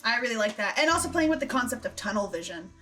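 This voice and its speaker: A woman with a fairly high voice hosts a relaxed group chat. She talks in an effusive, enthusiastic way, with music playing underneath. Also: quick pace. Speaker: host and creator of a fan series.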